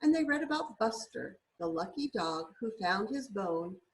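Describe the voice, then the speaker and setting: A woman reading a storybook aloud to young children in a deliberate, teacherly way, sounding words out carefully. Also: medium pitch, sing-song, poor audio. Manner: deliberate, spells words out letter by letter.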